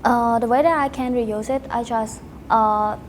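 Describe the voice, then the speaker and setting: Young cambodian lady on a podcast, kinda high voice, pauses hunting for words, bit of noise behind her. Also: fairly even intonation. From Cambodia, Battambang province. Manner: halting, searches for words in non-native phrasing. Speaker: podcast guest, teacher and student. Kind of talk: casual interview answers.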